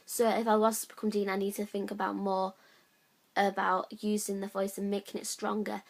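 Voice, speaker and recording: A young woman, a drama student, reflecting on her audition in a halting way with many fillers. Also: level tone. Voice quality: high-pitched voice, by her own account. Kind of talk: reflective spoken account.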